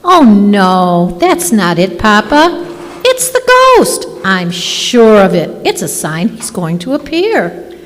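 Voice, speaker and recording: A woman's voice: medium pitch, very animated, takes it slowly. A woman playing an earnest, romantic, melodramatic daughter in an old-time radio drama on stage. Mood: insistent. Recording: echoey hall stage recording.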